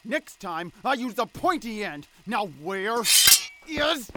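A man's voice: high voice, very animated, slow, very noisy, full character voice. A man playing an earnest, righteous boy on the cusp of manhood in an audio drama, with music underneath. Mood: angry, wounded.

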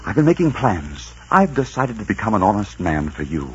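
An actor playing a confident, tender highwayman in love, in 1940s radio drama style. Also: very animated, fairly quick, a bit bright, slight background noise. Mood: eager, tender. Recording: dull 1940s radio recording, music.

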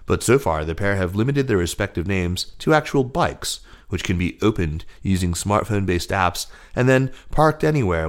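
A man reading business news stories aloud as scripted narration. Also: deep voice. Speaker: news reader.

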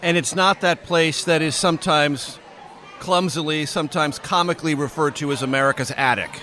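A man hosting an interview over lunch, asking measured, thoughtful questions. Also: a little high, sharp tone. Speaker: interviewer and host.

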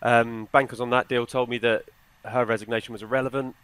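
A man speaks as a reporter in a podcast discussion. His voice sits at a middle pitch and is recorded dry, and his delivery is conversational. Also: little pitch movement, brisk, fairly bright tone, clean tone, dry recording. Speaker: financial reporter, podcast panellist.

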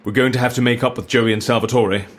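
An actor with a British accent playing a jovial, eccentric, inquisitive gentleman schemer in an audio drama, theatrical and playful. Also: medium pitch, steady tone, fast talker, close to an everyday voice. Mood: scheming, cheery.

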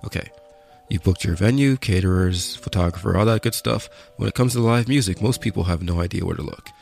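A man with a very low, creaky voice reads an upbeat scripted advertisement. He moves along at a fast clip, and music plays behind him. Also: darkish tone. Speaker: advertisement voice-over.

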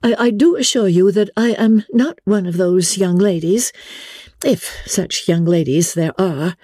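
A spirited, indignant young lady acted by a woman from a classic novel. The voice is pitched high and swoops with feeling, flustered and emphatic but always polite and firm. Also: mellow, breathy edge, no echo, studio quality. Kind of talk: dramatic classic novel reading.

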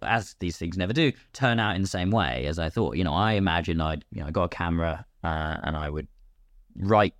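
A low-voiced British man talks in a lively, widely swinging way, as in a relaxed interview. His delivery is chatty and self-deprecating, and the sound is close and dry. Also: brisk, no echo. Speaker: guest, actor and filmmaker. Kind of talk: casual podcast interview.

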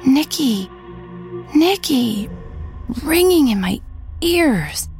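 A woman's voice: high voice, big pitch swings, extremely slow, creaky, darkish tone, soft background music, pitched above the performer's own voice, heavily acted. A woman voicing a terrified young woman haunted by a faceless ghost, talking in a trembling, breathless, pleading way. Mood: horrified.

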